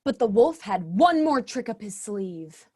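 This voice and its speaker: A woman's voice: mid-range voice, very animated, measured pace, mellow, husky. A woman narrating a fairy tale stage play in a storybook manner. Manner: storybook narrating with an ironic aside.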